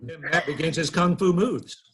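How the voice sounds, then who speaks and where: A man's voice: on the high side, moderate pace, very noisy. A man reading the stage directions at a table read, plain and matter-of-fact.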